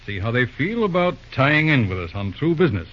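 An actor playing a shrewd, exacting older businessman uncle in an old-time radio drama, asking measured questions in a husky voice. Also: medium pitch, sing-song, fairly bright tone. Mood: brisk, decisive. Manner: probing, measured questions with hums. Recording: dull, lo-fi old radio recording.

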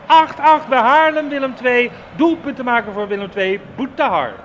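A man with a high voice reports on a football match live on the radio. He speaks as a commentator from an outdoor ground, with some noise around him. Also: animated, moderate pace, fairly bright tone.

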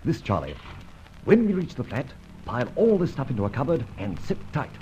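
Suave gentleman thief, cool and debonair, lively melodic delivery, vintage radio drama, muffled hissy recording. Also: medium pitch. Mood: commanding. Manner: debonair, unhurried, old-fashioned British-style exclamations. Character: suave, cool-headed gentleman safecracker and adventurer. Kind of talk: old-time radio serial acting.

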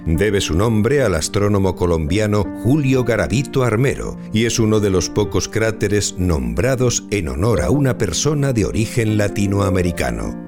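A composed artificial intelligence aboard a spaceship, with a low male voice. He explains everything formally and obligingly, in measured audio drama style, with music clearly behind him. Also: big pitch swings. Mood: calm, explaining. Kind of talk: audio drama acting, measured informative delivery.

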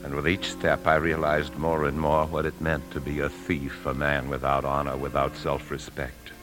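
An American actor playing a weary, guilt-ridden husband and bank man, narrating gravely in a 1950s radio drama. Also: rumbling low, completely flat delivery, moderate pace. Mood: grave, confessional. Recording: 1950s radio broadcast with music.

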